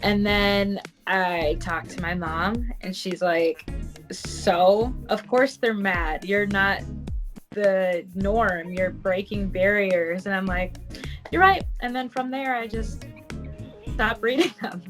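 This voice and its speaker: A woman's voice: mid-range voice, takes it slowly, a bit bright, soft background music. A young woman guest in a casual video call interview, upbeat and chatty. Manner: upbeat, frequent agreeing murmurs. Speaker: pageant contestant guest. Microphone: video call mic.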